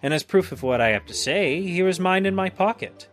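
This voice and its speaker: A man's voice: sits high, animated, a little fast, a bit bright, soft background music, pitched above the performer's own voice. A man voicing a mild-mannered but cold, haughty musketeer with formal, cutting politeness, in a dramatized storybook reading. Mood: feigned mildness.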